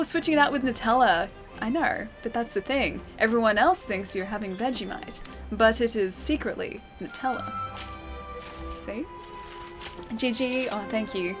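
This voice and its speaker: Australian woman streamer, mid-pitched, chatty and giggly, game music underneath. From Western Australia. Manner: chatty, laughs often, reads and answers chat. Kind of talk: casual gameplay chat with viewers.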